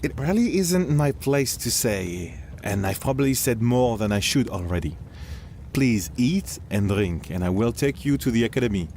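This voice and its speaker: A man's voice: medium pitch, animated, normal speed, gravelly, darkish tone, a little hiss or hum. A man playing a courteous 1700s Frenchman in an audio drama, speaking politely and formally. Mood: tactful, hesitant.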